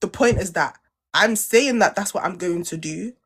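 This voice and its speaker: A young woman argues hard in a podcast debate, pitched in the middle of the range. She circles back to the same points and will not be cut off. Her tone is insistent and steady. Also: moderate pace, slightly rough. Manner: insistent, repeats points, talks over interruptions. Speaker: podcast co-host. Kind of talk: heated debate.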